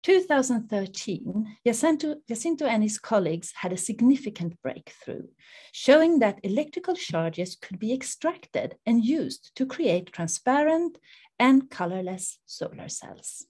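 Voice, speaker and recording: A middle-aged woman with a Swedish accent, formally introducing an online seminar as its host in a measured way. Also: on the high side, sing-song, dark tone. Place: indoors in front of a dark backdrop, video call.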